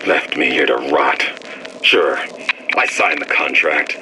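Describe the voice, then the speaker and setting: Deep-voiced, bitter stranded workman. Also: steady tone, slow pace. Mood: bitter, desperate. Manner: gruff, cursing, short bursts. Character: bitter, desperate stranded workman, crude and angry. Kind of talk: audio diary monologue in a video game. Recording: noisy vintage audio-diary recording.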